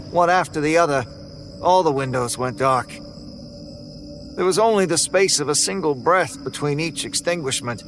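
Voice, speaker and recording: A man's voice: a little high, a bit bright. Man narrating a horror story over music. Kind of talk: first-person horror narration.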